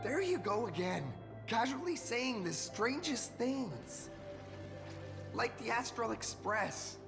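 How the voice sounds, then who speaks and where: A humble young rookie hero from a video game, earnest and unsure. The voice is high and lively with a crackle of fry. There is long reverb and music behind it. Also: male voice, slow pace, full character voice. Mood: earnest, modest. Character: earnest, modest, self-doubting young rookie hero, reformed delinquent. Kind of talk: animated game voice acting.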